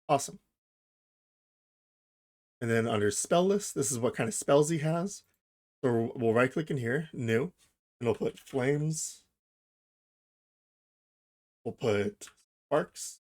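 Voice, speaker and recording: A man hosting a software tutorial, explaining each step informally and thinking aloud as he goes. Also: medium pitch. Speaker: tutorial host. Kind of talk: casual software tutorial narration.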